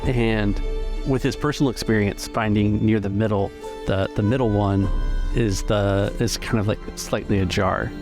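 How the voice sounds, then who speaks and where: A man acting as game master, narrating an improvised tabletop game in measured phrases with pauses between them. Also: fairly low pitch, fairly even intonation. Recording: music bed behind the voice.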